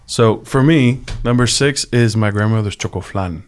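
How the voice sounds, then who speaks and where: A man's voice: fairly low pitch, moderate pace. A man co-hosting a radio show, chatting casually and listing things with enthusiasm. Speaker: co-host.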